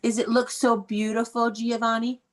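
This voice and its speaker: Encouraging American woman teacher, higher voice. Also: little pitch movement, normal speed. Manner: encouraging, praising, prompting with questions. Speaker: elementary school teacher. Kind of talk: interactive read-aloud lesson over video call. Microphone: video call.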